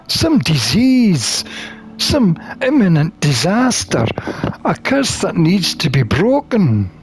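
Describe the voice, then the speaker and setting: A man reading a visual novel aloud as a gamer, expressive and theatrical, with drawn-out phrasing. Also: on the high side. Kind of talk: expressive read-aloud of game text.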